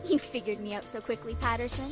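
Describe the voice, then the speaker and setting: A woman plays a brash young gamer girl from a visual novel. She talks in a high voice with casual teasing, over clear music. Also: steady tone, fast talker, close to an everyday voice.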